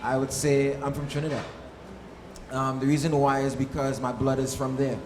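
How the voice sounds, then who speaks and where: A man's voice: medium pitch, little pitch movement, normal speed. A man from Harlem, a soca artist, answering casually as part of a panel. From Harlem, New York. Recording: echoey room, faint background noise.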